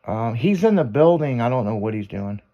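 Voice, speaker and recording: A man's voice: medium pitch, animated, a little fast, dull audio. A man hosting a radio show, chatting casually, rambling and swearing, trailing off with fillers. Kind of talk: casual on-air chat.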